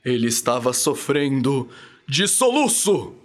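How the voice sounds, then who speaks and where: A man's voice: mid-range voice, sing-song, measured pace. A young Brazilian man narrating a scripted children's radio story in a dramatic storytelling style. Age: young adult. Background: music.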